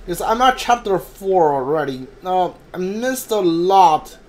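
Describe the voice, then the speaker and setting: A man with a high voice streams a video game and talks to his chat. His commentary is casual and rambling, and he often circles back over the same point with hesitant phrasing. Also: animated, slow pace. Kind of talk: casual live gameplay commentary.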